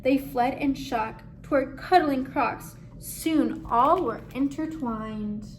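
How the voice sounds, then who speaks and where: A woman reading a picture book aloud expressively, with measured, dramatic phrasing. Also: sits high, a little hiss or hum. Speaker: story reader.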